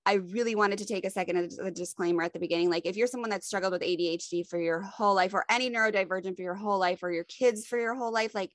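A woman's voice: medium pitch, rapid-fire, few pauses, no echo. Woman, young adult, sniffly cold, podcast co-host, chatty, self-deprecating, dry sound. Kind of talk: casual chat.

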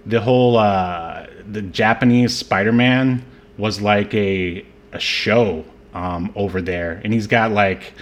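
A man hosting a podcast, chatting casually about films in a rambling, halting way. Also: on the low side. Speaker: podcast host. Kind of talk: casual film discussion podcast.

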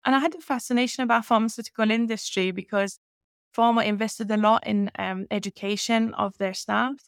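A woman's voice: fairly high pitch, brisk, clean tone, dry recording, studio quality. A woman in her fifties who grew up in Iran and now speaks with a mixed Scottish-English accent, talking steadily through her career as an interview guest. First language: Persian. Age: 50s. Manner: fluent, steady telling of her career with fillers. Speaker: guest, company founder. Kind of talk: conversational interview.

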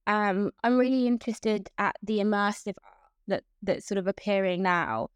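A woman's voice: mid-range voice, moderate pace, clear, resonant tone, dry recording. A young woman from Hong Kong, a guest in an interview, chatting about her path into events with frequent fillers and restarts. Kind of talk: casual interview.

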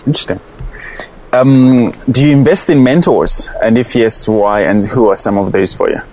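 A man hosting a conversational interview, feeling his way haltingly into each question. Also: mid-range voice, a little mellow, a little hiss or hum, rough recording. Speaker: podcast host, interviewer.

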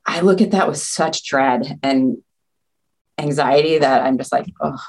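A woman's voice: extremely low pitch, unhurried, a little mellow. A woman, a nursing leader, speaking as a guest on a video call, earnest and talking in long run-on thoughts. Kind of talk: casual interview chat.

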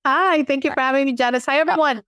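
A middle-aged woman from Miami with a Cuban American Miami accent, chatting casually as a podcast guest in an outgoing, animated way. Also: sits high, fast, no echo, studio quality. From Miami, Florida. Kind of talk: casual interview chat.

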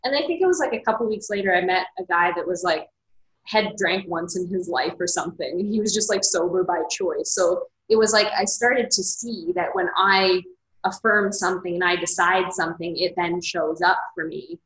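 A woman's voice: on the low side, moderate pace, fairly bright tone, roomy sound, poor audio. A woman author and coach talking candidly in an interview, reflective and open, with lots of "like" fillers. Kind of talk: casual interview conversation.

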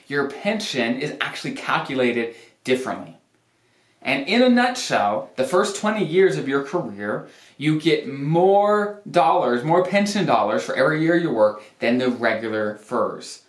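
A man with a medium-pitched voice delivers an informal explainer to viewers. He stresses points by repeating words. The recording is clearly roomy, with echo around the voice. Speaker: financial planner hosting an explainer video. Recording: roomy, echoey sound.

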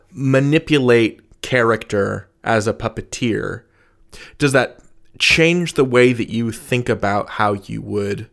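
A man's voice: mid-range voice, gravelly. A man hosting an interview, asking conversational questions and rephrasing them as he goes. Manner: searching, rephrasing as he asks. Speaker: podcast interviewer, host.

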